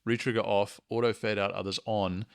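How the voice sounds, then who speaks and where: Low-voiced man with a gravelly edge. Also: flat delivery, a little fast. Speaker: software tutorial presenter. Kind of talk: explanatory screencast tutorial narration.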